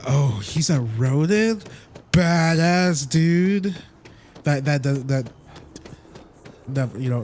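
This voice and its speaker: A man's voice: a little high, darkish tone, slight background noise. A man livestreaming a video game with casual, rambling, slangy commentary, often repeating himself as he goes.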